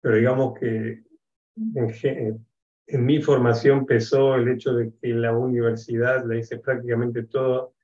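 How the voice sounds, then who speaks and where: A man in his sixties from Argentina with an Argentine accent, speaking as an interview guest on a video call from his home office, in a measured, reflective way with many hesitations. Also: medium pitch, level tone, darkish tone, highs cut off. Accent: Argentine Spanish. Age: 60s. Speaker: guest, education expert. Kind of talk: interview conversation.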